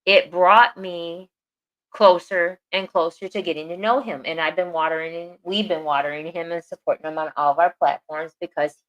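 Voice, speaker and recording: A woman's voice: lowish voice, a bit bright, lo-fi sound. A woman co-hosting a podcast, talking earnestly and repeating phrases for emphasis. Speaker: podcast co-host. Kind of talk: casual podcast chat.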